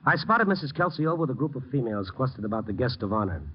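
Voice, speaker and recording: A man's voice: mid-range voice, sing-song, racing, slight background noise, lightly acted. An actor in an old radio drama playing a tough, wry newspaper reporter who narrates his own case. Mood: tense, brooding. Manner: wry, hardboiled. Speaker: narrator and lead. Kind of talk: 1940s-1950s radio acting, first-person narration. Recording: muffled old radio with music behind.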